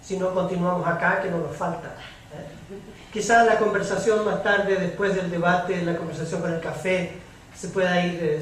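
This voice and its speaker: A very echoey recording of a man chairing a panel debate, with faint noise behind him. He moderates in a halting way, often pausing mid-sentence. His voice sits high for a man. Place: public debate hall with audience. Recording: very echoey hall, distant mic, faint noise.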